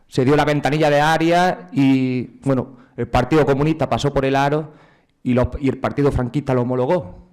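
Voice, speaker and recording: A man's voice: medium pitch, a little mellow. A man moderating a public tribute event, joking casually and handing over to speakers.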